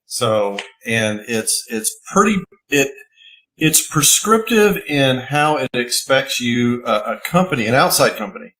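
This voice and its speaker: A man, a cybersecurity expert on a live panel, talking in a measured, halting way with frequent pauses. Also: medium pitch, animated, fairly bright tone, low-quality recording. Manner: measured, halting, many fillers. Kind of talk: thoughtful panel discussion.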